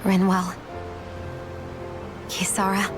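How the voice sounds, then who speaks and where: A woman's voice: medium pitch, monotone, drawn-out words. An actress playing a guarded, troubled young woman in a video game cutscene. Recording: music behind the voice.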